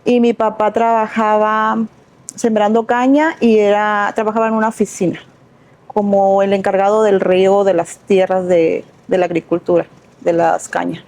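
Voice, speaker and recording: A middle-aged woman recounts her upbringing as an interview guest. Her voice is mellow and warm, at a moderate pitch. She speaks softly and thoughtfully, often restarting phrases. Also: flat delivery, normal speed. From Sinaloa, Mexico. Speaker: guest, entrepreneur. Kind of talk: casual interview storytelling.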